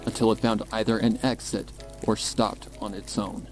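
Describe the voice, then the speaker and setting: A man reading a dark horror story as a dramatic scripted narration. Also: fairly low pitch, little pitch movement, moderate pace. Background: music.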